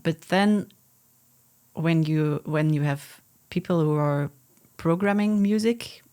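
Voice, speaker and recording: A woman's voice: sits low, slow, warm tone, smooth. A woman with a Dutch accent, a musician guest, answering questions thoughtfully and hesitantly in a casual interview.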